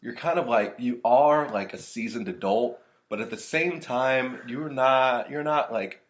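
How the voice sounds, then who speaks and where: A man's voice: mid-range voice, measured pace. A young man in his twenties chatting on a podcast. He talks in a loose, joking way, often laughing. He breaks into silly put-on voices to tease his friend. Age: 20s. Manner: animated, laughs often, playful mock voices. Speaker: podcast co-host. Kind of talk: casual chat.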